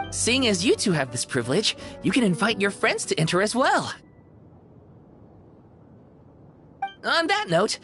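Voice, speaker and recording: A woman's voice: mid-range voice, a bit bright. A woman voicing a polite, effusive living stuffed toy who works as a concierge in a video game. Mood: polite, welcoming. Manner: courteous, theatrical, effusive. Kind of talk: scripted video game character acting.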